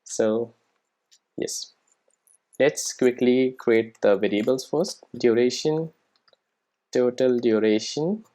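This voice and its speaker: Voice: medium pitch, takes it slowly, pauses often, dark tone, low-quality recording. A tutorial presenter with a mid-range voice walks through programming steps in an informal way. The delivery sounds like thinking aloud while working, with short questions tossed to the listener to check they are following. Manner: checks in with rhetorical questions and tag "right?".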